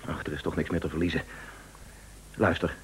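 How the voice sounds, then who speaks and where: An actor playing a determined news agency boss in a Dutch radio play, speaking urgently and insistently with hesitations. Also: male voice, a bass voice, flat delivery, brisk, slight background noise.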